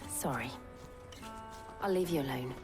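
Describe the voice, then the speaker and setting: An actress playing a composed, determined and gently kind woman adventurer in a fantasy video game. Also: rumbling low, unhurried, over music. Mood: gentle. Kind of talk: dramatic video game acting.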